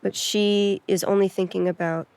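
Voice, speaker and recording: A woman's voice: medium pitch, flat delivery, normal speed, creaky, a little mellow, clear, resonant tone, dry recording. A woman giving casual, reflective commentary in a conversational way.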